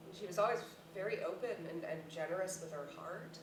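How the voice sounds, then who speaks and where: A woman's voice: fairly low pitch, quick pace, lightly acted. An actress playing a grieving, defensive middle-aged mother in a staged play reading, blunt and sardonic. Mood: tender, reflective. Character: grieving mother of a grown daughter, prickly and defensive. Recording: echoey noisy room, distant mic.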